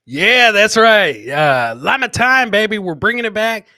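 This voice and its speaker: A man hosting a comedy podcast, bantering casually in a rowdy, rambling way with shouted bursts. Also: sits high, lively intonation, unhurried, no room sound, studio quality. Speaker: host of a live comedy podcast. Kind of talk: boisterous casual live banter with listeners.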